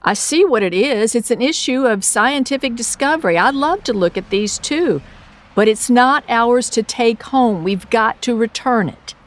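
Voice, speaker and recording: A woman's voice: fairly high pitch, expressive, moderate pace, close to an everyday voice. A woman playing an earnest, kind young boy in a radio play, questioning, exclaiming and coaxing.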